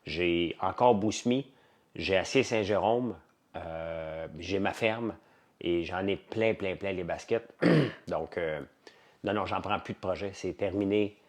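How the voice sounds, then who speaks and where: A man's voice: low-pitched, takes it slowly. A man with a Quebec French accent chatting casually to livestream viewers, rambling and blunt, in colloquial words and often repeating himself. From Quebec, Canada. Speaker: livestream host.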